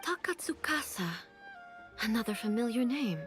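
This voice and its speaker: A commanding female ruler from a game, voiced by an actress, with a somewhat high voice. She speaks in formal, measured phrases and sounds resolute, with quiet music underneath. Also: expressive, close to an everyday voice. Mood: thoughtful. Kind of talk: English game dub, dialogue.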